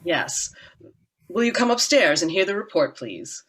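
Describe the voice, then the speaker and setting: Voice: mid-range voice, sing-song, a little slow, a bit bright, a little hiss or hum. An actor playing a young family doctor in a radio drama, formal, cautious and careful. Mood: formal, grave.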